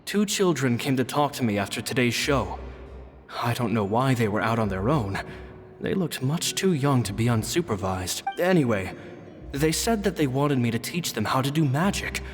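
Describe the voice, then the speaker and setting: A man voicing a kindly, modest stage magician in a video game, narrating his journal in a gentle, reflective way. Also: medium pitch, animated, normal speed, lightly acted. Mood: warm, reflective. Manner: gentle, storytelling. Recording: long reverb, music behind.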